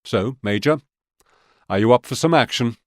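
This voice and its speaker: An actor playing a stern, authoritative Soviet military officer giving measured orders in a war video game. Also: male voice, medium pitch, lively intonation, no room sound, clean studio sound, natural voice. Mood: firm, resolute. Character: stern Soviet male military officer briefing his men.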